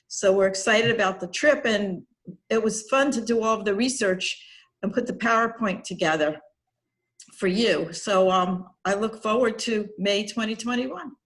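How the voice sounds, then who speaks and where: A woman's voice: medium pitch, normal speed, fairly bright tone, rough recording. A middle-aged woman professor speaking in an upbeat, chatty way at an info session. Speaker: professor and program co-leader. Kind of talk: casual talk at an info session.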